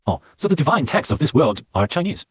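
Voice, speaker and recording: Man voicing a lively young disciple hero. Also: fairly high pitch, quick pace, breathy edge, no echo. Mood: excited. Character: young male transmigrated commoner disciple, humble yet cocky. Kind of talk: scripted manhwa recap dubbing.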